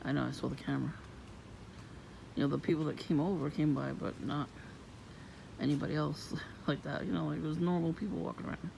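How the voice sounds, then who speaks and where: A woman with a low voice, vlogging in a soft-spoken, rambling and suspicious way outdoors near her home. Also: fairly even intonation, brisk, gravelly. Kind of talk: casual rambling monologue. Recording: noisy outdoor ambience.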